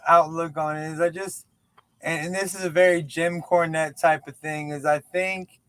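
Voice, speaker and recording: Guest in his late thirties, higher voice, dry close sound, jokey and self-mocking. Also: clear, resonant tone, dry recording. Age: 30s. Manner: joking, self-deprecating, repeats words. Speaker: podcast guest. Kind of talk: casual chat.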